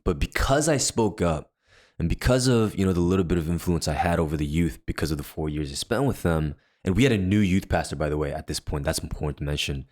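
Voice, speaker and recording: A young man with a deep voice, a podcast co-host telling a story in casual chat with many fillers and restarts. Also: fast talker, darkish tone. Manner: rambling, filler-heavy storytelling.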